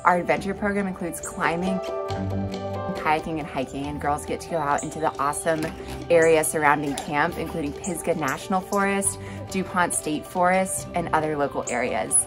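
A woman's voice: low voice, moderate pace, over music. A woman narrating a summer camp video in an upbeat, informative voice-over.

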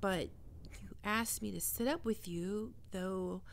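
A woman's voice: mid-range voice, slow pace, creaky, a little hiss or hum. A woman playing a skittish, defensive young catgirl roommate in an ASMR roleplay, stammering and prickly to hide her fear. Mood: frightened, needy.

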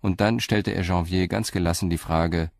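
A man narrating a scripted German crime story in a sober, measured manner. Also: low-pitched, steady tone, creaky, darkish tone, dry recording, studio quality.

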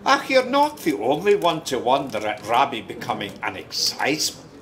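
An actor playing a chatty, wry Scottish storyteller in a radio drama, confiding and colloquial. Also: male voice, medium pitch, very animated, moderate pace, fairly bright tone, a little hiss or hum. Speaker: narrator. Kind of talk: conversational radio drama narration.

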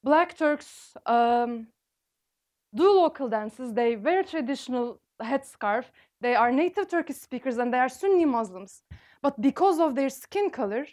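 A woman in her thirties explains her dissertation research to a seated audience in a library seminar room. Her voice is high, and she talks clearly and steadily, the way someone tells a story, picked up by a lapel mic. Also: lively intonation, unhurried, a little mellow. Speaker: graduate student presenter. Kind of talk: thesis talk.

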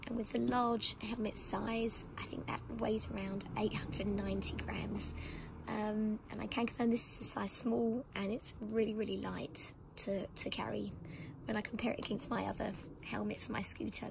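Hoarse, whispery woman who lost her voice. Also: mid-range voice, noisy background. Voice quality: breathy, often whispering. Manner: chatty, rambling with many ums. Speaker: motorbike vlogger. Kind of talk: casual product unboxing. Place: outdoor garden table.

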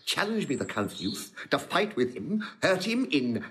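Boisterous, swooping old rogue. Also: male voice, fairly high pitch, sing-song, measured pace, a bit bright. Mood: egging on. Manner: hearty, theatrical, goading. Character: jovial scheming older gentleman uncle, boisterous rogue. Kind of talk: classic stage comedy acting, recorded audio drama.

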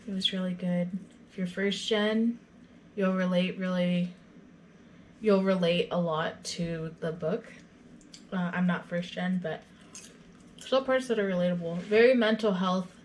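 A woman's voice: lowish voice, a little hiss or hum. A young woman chatting casually to her camera in her kitchen, rambling and retelling conversations. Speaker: vlogger. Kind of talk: casual vlog chat. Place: small home kitchen. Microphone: camera on a counter in front of her.

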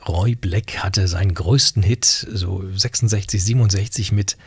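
Husky, gravelly middle-aged male radio host. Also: low-pitched, moderate pace, no echo, studio quality. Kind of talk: casual radio interview. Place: small radio studio, indoors. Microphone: studio mic on boom arm.

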